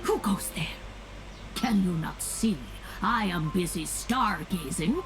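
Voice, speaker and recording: Mysterious witch in a game, slow and imperious, very theatrical, music playing behind. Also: lowish voice, lively intonation. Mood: aloof. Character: haughty, mysterious female seer witch and stargazer. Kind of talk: video game voice acting.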